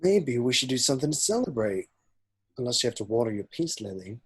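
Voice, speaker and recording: Laid-back young constable, husky, lively intonation, read by a man. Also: mid-range voice, fairly quick. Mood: easygoing. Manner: relaxed and chummy. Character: easygoing, friendly young male constable. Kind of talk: table read over video call. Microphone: video call.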